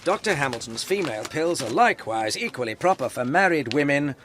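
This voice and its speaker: An actor playing a haughty, sarcastic and menacing lawyer in a comic radio drama, pompous and excitable. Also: male voice, fairly high pitch, brisk. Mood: indignant, mocking.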